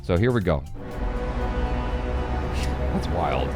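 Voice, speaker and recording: A man hosting a reaction show, chatting casually in an animated, enthusiastic way. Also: deep voice, takes it slowly, over loud music. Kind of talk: casual excited chat.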